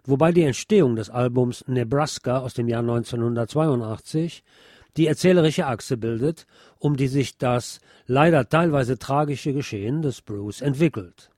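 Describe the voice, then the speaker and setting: A man narrates a scripted film review in a mellow voice of medium pitch. The recording is dry and close, with no room sound. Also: moderate pace, no echo.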